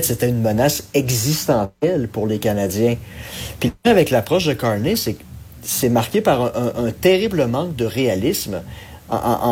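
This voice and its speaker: A man's voice: medium pitch, a little mellow, a little hiss or hum. A man with a Québécois French accent giving political commentary, earnest and analytical with frequent hesitations. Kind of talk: radio commentary segment.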